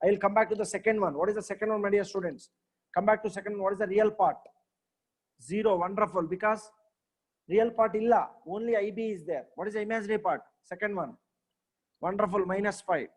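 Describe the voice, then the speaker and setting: A man's voice: high voice, brisk. A man, teaching maths in a live online class. He repeats himself, checks on his students often and praises their answers.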